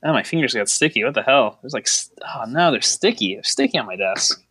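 A man's voice: mid-range voice, lively intonation, a little fast, pushed and bright, breathy edge, no room sound. A man co-hosting a podcast in casual chat, joking, teasing and rambling as he goes. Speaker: podcast co-host.

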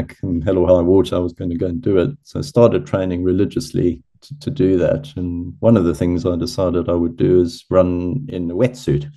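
A man's voice: deep voice, dark tone. A middle-aged man, originally from Zimbabwe, telling long chatty stories as a podcast guest and laughing at himself. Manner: chatty, self-deprecating, laughs at own stories. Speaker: podcast guest, ultra runner. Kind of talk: casual storytelling interview.

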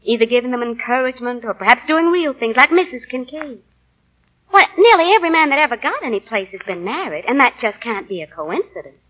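An actress playing a devoted, grateful wife in an emotional 1940s radio drama style. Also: female voice, a little high, big pitch swings, a little fast, lo-fi sound. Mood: earnest. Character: devoted young wife, grateful, quietly managing her husband. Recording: 1940 radio broadcast.

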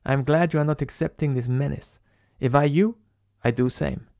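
A man doing a big soft-spoken bloke with broken english. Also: medium pitch, fairly even intonation, moderate pace, creaky, no echo, studio quality, pitched above the performer's own voice, lightly acted. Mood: approving. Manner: broken English, clipped grammar.

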